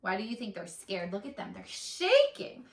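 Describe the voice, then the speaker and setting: A woman reading aloud to children as a teacher, expressive and addressing them directly with prompting questions. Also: medium pitch, normal speed, roomy sound.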